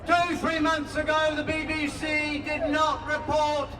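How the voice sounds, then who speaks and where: A man giving an indignant protest speech at a rally, shouting his points. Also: extremely high pitch, completely flat delivery, unhurried, a little hiss or hum. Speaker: rally speaker. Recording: echoey outdoor rally from far off. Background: loud crowd.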